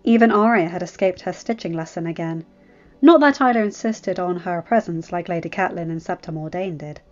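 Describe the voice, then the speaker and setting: A woman's voice: fairly low pitch, fairly quick, faint music behind. Woman reading a story, slightly muffled. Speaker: fan fiction audiobook reader. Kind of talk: expressive scripted story narration.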